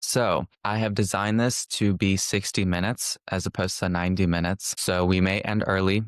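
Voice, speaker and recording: A young man from California, a social work student, giving a relaxed, reflective webinar presentation with frequent restarts and fillers. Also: low voice, normal speed, clear, resonant tone, no echo.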